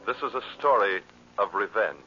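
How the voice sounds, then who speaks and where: A man narrating as a radio announcer in solemn, measured, dramatic old-time style. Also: fairly low pitch, lively intonation. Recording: dull old radio recording with music.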